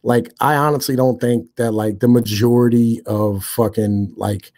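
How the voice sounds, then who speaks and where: Thirties male guest, mellow tone, blunt and profane, slangy, keeps asking "you know what I mean.". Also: medium pitch, fairly even intonation, moderate pace. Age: 30s. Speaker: podcast guest. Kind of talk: casual interview chat.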